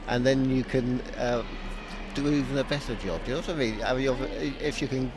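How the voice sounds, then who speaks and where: A man hosts a radio interview in an easy, relaxed way, often pausing on uh fillers. There's clear background noise on the recording. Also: medium pitch. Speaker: radio host.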